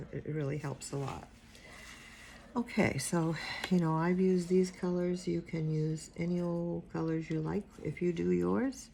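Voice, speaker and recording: Quiet, gravelly older woman. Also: on the low side, fairly even intonation, unhurried, a little hiss or hum. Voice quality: quiet soft-spoken voice. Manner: rambling, trails off and mumbles while focusing. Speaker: watercolor instructor. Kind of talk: casual painting tutorial.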